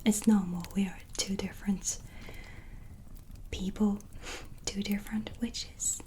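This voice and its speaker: Slow, whispery gentle witch played by a woman. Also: mid-range voice, steady tone, a little hiss or hum, natural voice. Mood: calm, musing. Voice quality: soft, breathy, near-whisper. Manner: slow, hesitant, soothing. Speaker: ASMR roleplay performer. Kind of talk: soft-spoken ASMR roleplay. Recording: soft music behind. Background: relaxing music and ambience.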